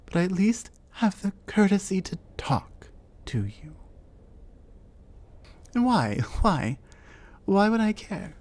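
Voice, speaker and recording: A woman voicing a large, territorial female cave troll who speaks with scorn and lectures in a deliberate way, in an ASMR audio roleplay. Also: mid-range voice, sing-song, darkish tone. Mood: suspicious.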